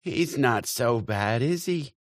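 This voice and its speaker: A man's voice: mid-range voice, animated, slow, no echo, clean studio sound, voice pushed up. A man voicing a fussy, anxious older aunt who bustles about a party. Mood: confiding. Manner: anxious, fussing.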